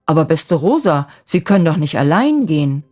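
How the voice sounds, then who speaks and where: A woman as ardent young suitor, cheerful, eager, wheedling, animated intonation, storybook romance. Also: low voice, normal speed, faint music behind. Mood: wooing. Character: ardent, cheerful young draftsman courting his sweetheart.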